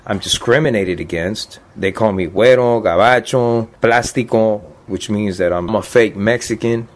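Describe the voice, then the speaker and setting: A man's voice: lowish voice, normal speed. A man in his fifties, a deported veteran, speaking plainly and earnestly about his life in a documentary interview. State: choked up.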